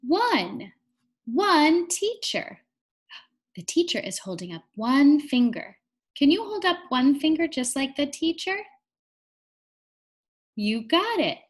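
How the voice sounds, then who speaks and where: A young woman reading aloud to small children in an encouraging, sing-song teacher's manner, guiding them along deliberately. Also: high-pitched, very animated. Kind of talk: interactive children's read-aloud lesson.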